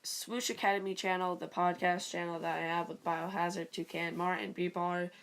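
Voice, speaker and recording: A woman with a raspy voice and a stuffy nose, giving rambling, casual sports commentary for her video channel. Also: fairly low pitch, little pitch movement, a little fast, slight background noise. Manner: rambling, full of "like" and "you know". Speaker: video creator.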